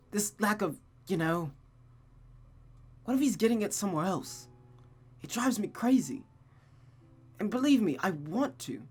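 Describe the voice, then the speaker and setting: A woman's voice: medium pitch, darkish tone, breathy edge, pitched above the performer's own voice. A woman voicing an anxious young woman in a visual novel, earnest and hesitant as she confides. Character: Catherine, anxious young woman confiding about her fiancé.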